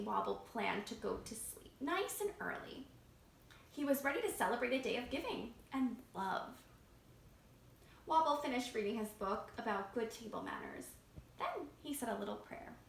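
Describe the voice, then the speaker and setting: A woman reading a picture book aloud to children as a library storytime reader, expressive and engaging them directly. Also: a little high, moderate pace, vocal fry. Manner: expressive, asking young listeners questions. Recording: roomy echo, faint background noise.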